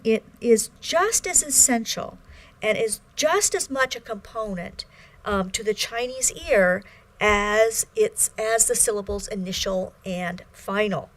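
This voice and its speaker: Patient older woman lecturing in a studio. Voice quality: fairly high voice. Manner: measured, patient teaching with frequent ums and self-corrections. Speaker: Chinese language instructor. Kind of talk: recorded video lecture. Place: small studio with plain backdrop.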